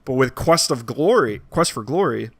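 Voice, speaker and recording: A man's voice: medium pitch, lively intonation, moderate pace, vocal fry, husky, dry recording. A man in a casual, opinionated podcast discussion, explaining his points piece by piece as a co-host.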